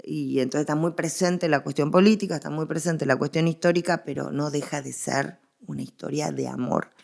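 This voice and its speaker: A woman's voice: low-pitched, fairly even intonation, a little fast, vocal fry, darkish tone. A woman with an Argentine accent explaining things as an interviewed expert, emphatic and given to repetition. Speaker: interviewed history and literature expert.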